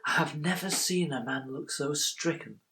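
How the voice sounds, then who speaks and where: A man playing a loyal, tender-hearted Victorian bank clerk, telling his story in a measured, grave theatrical monologue. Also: on the high side, sharp tone, low-quality recording. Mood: sorrowful, tender.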